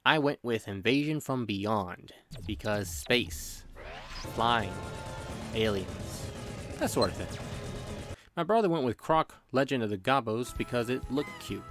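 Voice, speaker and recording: A man delivers a scripted comedic review as a voice-over. His delivery is playful and exaggerated, at a medium pitch, with music clearly playing behind him. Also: very animated, a little slow. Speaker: video game reviewer. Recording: background music under the voice.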